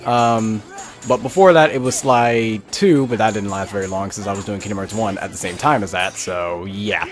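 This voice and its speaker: A man's voice: lowish voice, moderate pace. Rambling male gamer over faint music. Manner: rambling, thinking aloud. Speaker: gaming video host. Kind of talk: casual Let's Play commentary. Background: faint game music.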